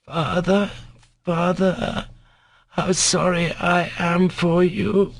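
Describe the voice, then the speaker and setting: Storybook sick child man acting: high, faltering, sorrowful, pleading tenderly, dry acoustic. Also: fairly even intonation, unhurried, dry recording. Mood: anguished.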